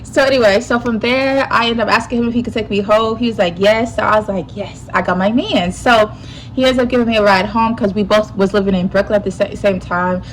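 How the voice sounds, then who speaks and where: A young woman with a slightly stuffy nose, telling a personal story in a casual, animated way full of slang. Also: mid-range voice, fairly quick, slight background noise, rough recording. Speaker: YouTuber.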